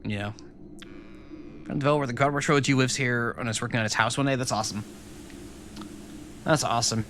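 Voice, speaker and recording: A man's voice: mid-range voice, steady tone, fast, a bit bright, a little hiss or hum. Chatty man with a Rhode Island accent. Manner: rambling, filler-heavy, laughs often. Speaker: streamer and game developer. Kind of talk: casual live-stream chat.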